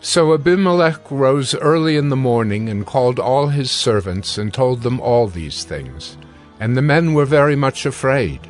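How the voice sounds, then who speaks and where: A man narrating scripture from a script in a measured, solemn manner. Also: mid-range voice, expressive, soft background music.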